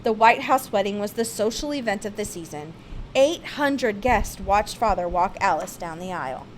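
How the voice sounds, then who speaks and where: A woman's voice: medium pitch, expressive, moderate pace, slight background noise, poor audio. A woman reads a children's picture-book biography aloud with theatrical, emphatic expression. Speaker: storybook reader.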